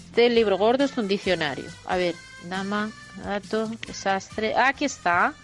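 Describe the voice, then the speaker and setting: A woman's voice: mid-range voice, lightly acted. Woman acting a portentous fortune-teller, drenched in echo. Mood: matter-of-fact. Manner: slow, portentous, dramatic delivery. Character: mystical fortune-teller woman, solemn prophetic seer. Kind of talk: absurd parody radio soap opera acting. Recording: heavily echoing, distant, music behind.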